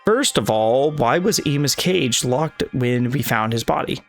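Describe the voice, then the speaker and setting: A man's voice: medium pitch, expressive, unhurried, faint music behind. A man reading a visual novel's dialogue and narration aloud for a let's play video, sometimes tripping over lines and correcting himself.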